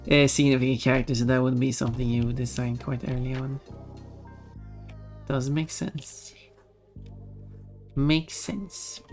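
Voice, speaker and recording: A casual streamer with a mid-pitched voice of ambiguous gender chats over soft music. The talk rambles and doubles back to correct itself, with giggly little asides. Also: steady tone, unhurried, long pauses. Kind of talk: casual livestream chat. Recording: music behind the voice. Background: background music.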